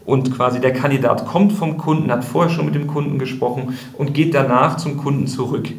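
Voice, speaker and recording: A man's voice: mid-range voice, fairly even intonation, fairly quick, vocal fry. A man speaking as the guest in a podcast interview, explaining things thoughtfully and restarting sentences often. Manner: thoughtful, many fillers and restarts. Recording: roomy echo.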